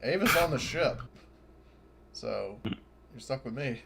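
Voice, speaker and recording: A man's voice: mid-range voice, animated, slow, creaky. Man on a very noisy mic. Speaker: tabletop game player. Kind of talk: casual game chat.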